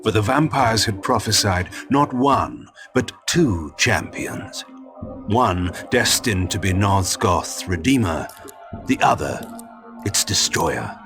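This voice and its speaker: A man voicing a proud, brooding vampire lord in a video game, narrating theatrically and deliberately. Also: fairly low pitch. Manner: grandiloquent, deliberate, portentous.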